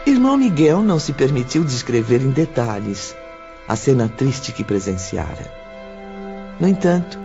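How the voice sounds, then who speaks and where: A man playing a composed, solemn storyteller, narrating an audio drama in a measured, expressive way. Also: mid-range voice. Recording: dull audio with clear background music.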